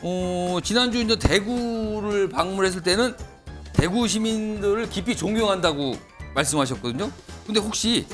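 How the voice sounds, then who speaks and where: A man's voice: high voice, animated, moderate pace, a bit bright, soft background music. A man hosting a political talk show, steering the panel with teasing, playful questions. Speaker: news talk show anchor.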